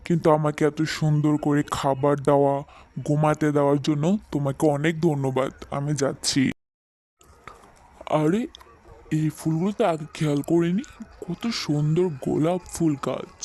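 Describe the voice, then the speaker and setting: Man playing a higher-voiced, kindly weary father. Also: steady tone, normal speed, darkish tone, close to an everyday voice. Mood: grateful. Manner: affectionate, plaintive. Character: kindly, weary middle-aged father of three daughters. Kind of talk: cartoon fairy-tale acting.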